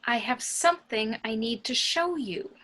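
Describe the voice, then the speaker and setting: A woman's voice: fairly high pitch, expressive, moderate pace, pushed and bright. A woman reading a tense, insistent morgue doctor in an amateur film table read, urgent in manner. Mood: hushed, urgent.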